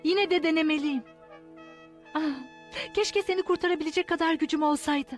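A woman's voice: extremely high pitch, steady tone, fairly quick, faint music behind. A woman playing a proud, earnest sorceress in a dubbed cartoon, with dramatic, emphatic delivery. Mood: earnest, hopeful.

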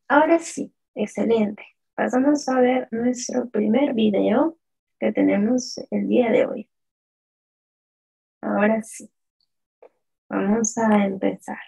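A woman teaching a live online lesson, drawing out words slowly in pronunciation drills and praising the chat's answers. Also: mid-range voice, gravelly, mellow, husky, low-quality recording. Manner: slow, drawn-out pronunciation drills; asks the chat questions and praises answers. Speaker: French teacher.

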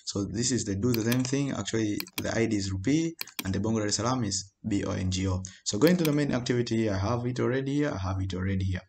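A man giving an informal, halting screen-recorded programming tutorial as he types. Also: on the low side, a little mellow. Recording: noisy home recording with keyboard clicks. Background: keyboard typing and mouse clicks.

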